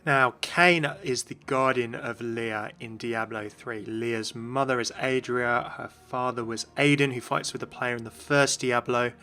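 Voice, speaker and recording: A man's voice: medium pitch, steady tone, a little slow, few pauses, bright, forceful tone, clean tone. A man hosting a gaming video, giving scripted explanations in narration. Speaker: video host. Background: music.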